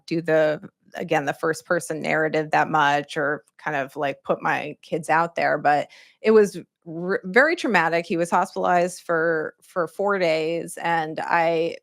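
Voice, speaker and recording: A middle-aged woman with a lower voice shares personal stories on a conference panel. She is reflective and uses many fillers. The sound is dry and close to the microphone. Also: a bit bright, no echo. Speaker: panelist, journalist. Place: conference room.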